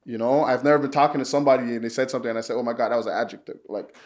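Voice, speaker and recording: A man's voice: medium pitch, racing. Laid-back young man from Nigeria. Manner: relaxed, rambling, many "like" and "you know" fillers. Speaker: podcast guest. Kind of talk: casual conversation.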